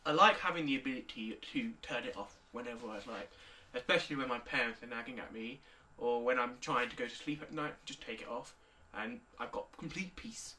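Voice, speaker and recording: Young guy of eighteen, clear bright tone, a bit off-mic. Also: medium pitch, a little fast, distant mic. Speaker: interviewee. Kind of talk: recorded video interview answers.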